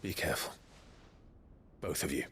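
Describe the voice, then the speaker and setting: A man acting a grave, commanding elder-brother warrior in a video game, terse and gruff. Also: normal speed, fairly bright tone, slight background noise, natural voice. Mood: resolute. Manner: terse, decisive.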